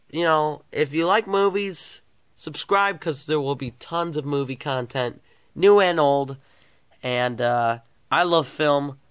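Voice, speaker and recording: A man's voice: medium pitch, fairly bright tone, clear, resonant tone, no room sound, studio quality. A man with a clogged nose and sore throat, rambling casually through a movie review vlog with many fillers and restarts.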